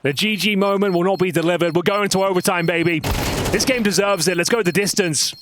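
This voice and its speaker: A man's voice: high-pitched, a little fast, fairly bright tone, a little hiss or hum. A man giving playful live play-by-play commentary on an esports match. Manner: chatty, playful, coins pet terms. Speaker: esports caster. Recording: clicks and game gunfire behind.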